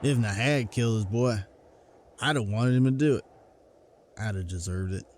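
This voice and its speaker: Man playing a gentle, guilt-ridden country hunter. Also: lowish voice, moderate pace, lightly acted. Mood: remorseful. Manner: folksy, ungrammatical country speech. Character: gentle, guilt-ridden rural hunter, troubled and plain-spoken. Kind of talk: comic book voice acting.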